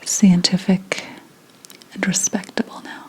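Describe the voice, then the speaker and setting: A woman playing a secretive, indignant 17th-century witch in a breathy whispered ASMR roleplay, speaking deliberately with dramatic pauses. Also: medium pitch, little pitch movement, natural voice, poor audio.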